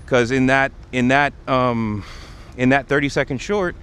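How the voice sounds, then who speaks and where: A man's voice: medium pitch, steady tone, measured pace, a bit bright. A man with a mid-range voice talks casually to his livestream audience, explaining things as he goes. He is outdoors on a city street, with faint traffic and urban noise behind him. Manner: explanatory, conversational. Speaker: livestream host. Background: traffic and urban ambience.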